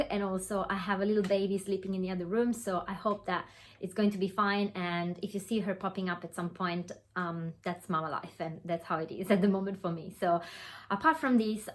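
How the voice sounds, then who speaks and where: A woman in her thirties from Italy with an Italian accent, talking straight to her phone camera in an earnest way, with many fillers and restarts. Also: medium pitch. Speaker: online business coach. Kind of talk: direct-to-camera webinar talk. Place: home room.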